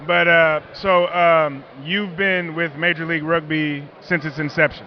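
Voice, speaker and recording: Brief: talkative male interviewer, a bit high-pitched, joking, muffled outdoor sound. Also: little pitch movement, unhurried, a little hiss or hum. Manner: chatty, joking, rambling questions.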